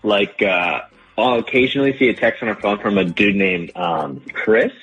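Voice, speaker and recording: A man's voice: on the low side, moderate pace, fairly bright tone, husky, dull audio. A man calling in to a radio show, explaining things hesitantly in a casual conversational way. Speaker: phone-in caller.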